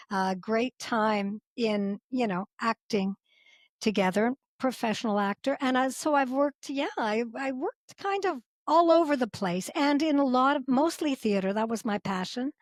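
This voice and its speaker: A woman's voice: medium pitch, no room sound, clean studio sound. A woman from Canada, a former actress now interpreter, telling stories in a casual podcast interview with lots of fillers. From Canada, Edmonton. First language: English. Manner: animated, chatty storyteller with frequent fillers.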